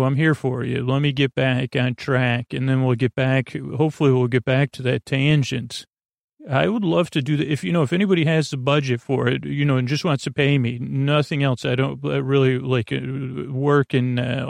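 Male sleep-podcast host, creaky fry, rambling and halting delivery, mid-pitched, dry booth sound. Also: no room sound. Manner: meandering, halting, full of restarts and tangents. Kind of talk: rambling late-night monologue.

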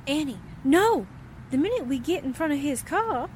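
High-voiced, wisecracking newspaperwoman sidekick actress. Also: sing-song, normal speed, darkish tone, slight background noise. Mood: frightened. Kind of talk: 1940s radio drama acting.

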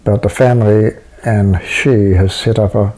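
A man's voice: on the low side, fairly even intonation, measured pace, mellow, low-quality recording. A man being interviewed about his writing, talking casually and rambling with many restarts and fillers. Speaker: interviewed writer.

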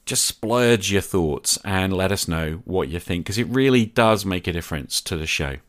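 A man's voice: deep voice, expressive, normal speed, a bit bright. A man hosting a podcast, talking in a conversational way to his listeners. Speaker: podcast host.

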